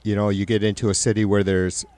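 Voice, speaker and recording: A middle-aged man with a nasal, raspy voice, hosting a livestream and chatting loosely with viewers, rambling and swearing. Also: lowish voice, little pitch movement, quick pace, darkish tone, no echo. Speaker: livestream host. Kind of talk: casual chat with live viewers.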